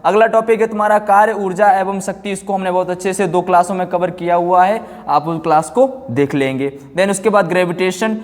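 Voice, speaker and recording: A man teaching an online exam-prep class in an explanatory way, repeating himself and mixing in English terms. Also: sits high. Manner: explanatory, repeats check-words and phrases. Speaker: physics teacher. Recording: long hall-like reverb.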